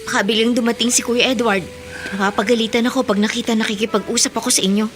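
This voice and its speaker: A woman's voice: a little high, fairly even intonation, quick pace, fairly bright tone, slight background noise, close to an everyday voice. An actress playing a young woman in a radio drama, respectful but hurt and guarded.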